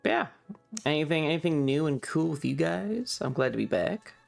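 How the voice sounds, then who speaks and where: A man's voice: fairly high pitch, animated, moderate pace, creaky, soft background music. A man hosting a casual livestream, chatting with viewers in a playful, rambling, slightly distracted way. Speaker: live streamer and host.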